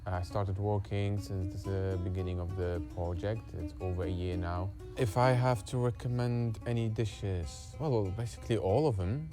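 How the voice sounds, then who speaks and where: A man's voice: lowish voice, moderate pace, few pauses. A man from Iraq with an Iraqi accent, chatting casually in an interview with plenty of "like" in his speech. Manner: chatty, uses "like" often. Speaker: restaurant worker. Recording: music playing behind the voice. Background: plucked guitar music.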